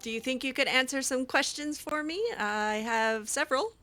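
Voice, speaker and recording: Woman game roleplayer, sarcastic, hesitant, laughing asides, crisp bright tone. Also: high voice, moderate pace. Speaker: roleplay game player and channel host. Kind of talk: roleplaying dialogue with game characters.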